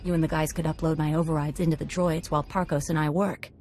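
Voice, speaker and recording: A woman's voice: sits low, flat delivery, quick pace, darkish tone, slight background noise, close to an everyday voice. A woman playing a tough, cocky convict hacker in a game cutscene, confident and matter-of-fact. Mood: confident, businesslike. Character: tough, cocky female convict computer slicer.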